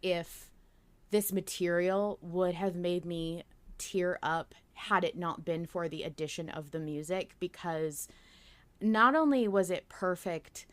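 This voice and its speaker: Woman, lower register, casual podcast chat, dry studio-like sound. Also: slow, fairly bright tone, dry recording. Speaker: podcast co-host.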